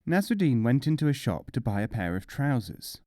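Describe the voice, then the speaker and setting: Mellow, expressive male storyteller. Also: medium pitch, normal speed, no echo, studio quality. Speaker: audiobook narrator. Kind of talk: scripted storybook narration of humorous folk tales.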